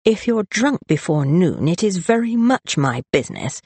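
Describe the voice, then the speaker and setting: A woman voicing a haughty, hot-tempered young aristocratic daughter in an audiobook, sharp and indignant in manner. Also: lowish voice, very animated, moderate pace, breathy edge, no echo, voice pushed up, exaggerated voice. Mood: demanding. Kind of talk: dramatised audiobook character voice.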